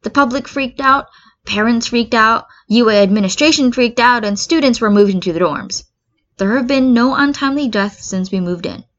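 A woman's voice: fairly high pitch, moderate pace, pitched above the performer's own voice, close to an everyday voice. A woman reading the part of a reluctant, dryly sceptical teenage boy in a story. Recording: dull lo-fi recording.

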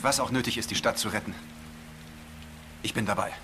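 An actor playing an earnest, idealistic young policeman in an audio drama, speaking in a calm and polite manner. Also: on the low side, a little fast, background noise, close to an everyday voice. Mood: resolute. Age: young adult.

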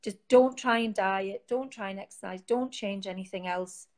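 A woman's voice: mid-range voice, moderate pace, a little mellow. A woman with a Geordie accent, a guest on a live interview, talking casually from her sofa on a phone, rambling with many fillers and restarts. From Newcastle, England. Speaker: guest, founder of an online support group. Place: home, sitting on a sofa.

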